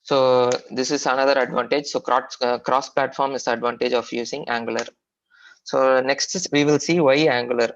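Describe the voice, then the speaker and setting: A man with an Indian accent teaches a technical class in a medium-pitched voice. He explains informally, often hesitating and repeating himself as he goes. The audio is dull and low in fidelity, like an online training recording. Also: fairly even intonation. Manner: halting, repetitive explaining with frequent hesitations. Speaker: software trainer.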